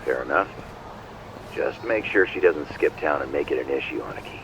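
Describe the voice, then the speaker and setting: An actor playing a streetwise, sceptical yakuza underling with slangy talk, in a video game cutscene. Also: male voice, extremely low pitch, lively intonation, normal speed, vocal fry, background noise, full character voice. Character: low-voiced male yakuza underling, sceptical, deferential to boss.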